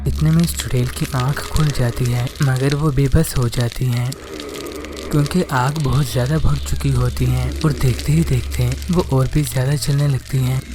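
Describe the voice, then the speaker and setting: Man narrating a cartoon horror story. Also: mid-range voice.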